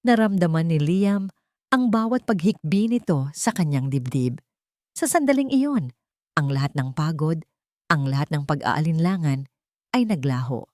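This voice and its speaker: Expressive, low-voiced woman narrating dramatically. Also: dry recording, studio quality. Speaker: story narrator.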